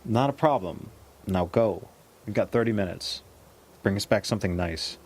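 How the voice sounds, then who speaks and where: A man's voice: on the low side, moderate pace, a little mellow, breathy edge. A man playing an injured, reluctant but protective road companion in audio drama dialogue. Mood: wry, protective.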